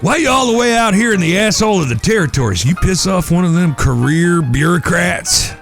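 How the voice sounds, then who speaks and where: Man acting a creaky, high-pitched, drawling Old West outlaw. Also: lively intonation, brisk, faint music behind. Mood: wry. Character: brash, profane outlaw ringleader in the Old West. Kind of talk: Western audio drama acting.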